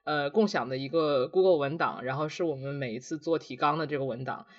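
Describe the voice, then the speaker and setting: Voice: medium pitch, a little fast, dull audio. A podcast co-host chatting casually in a reflective, self-deprecating way. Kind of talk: casual two-person podcast chat.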